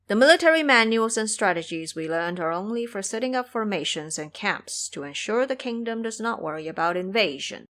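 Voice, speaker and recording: A woman's voice: mid-range voice, a bit bright. Woman voicing a deferential court official. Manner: formal, reasoning.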